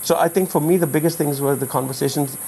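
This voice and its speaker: Excitable man, native Urdu speaker. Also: fast, faint music behind, poor audio. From Pakistan. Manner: frequent backchannels, rises high in pitch when excited. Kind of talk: casual conversation.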